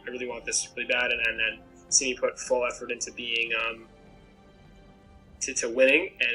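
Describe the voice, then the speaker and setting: A man's voice: medium pitch, pushed and bright, low-quality recording. A young man from Maine talking about himself in a rambling, reflective way with many restarts, as a contestant in a pregame interview over a laptop webcam call from a small bedroom. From Maine, USA. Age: young adult. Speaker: game contestant. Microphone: laptop webcam video call.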